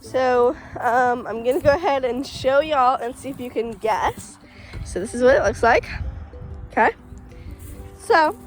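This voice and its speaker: A chatty woman with a fairly high voice, a little stuffy and congested. She talks excitedly, with plenty of "um"s, while filming outdoors beside a shed. Faint music plays softly underneath. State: stuffy from allergies. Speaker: farm vlogger. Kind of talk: casual vlog.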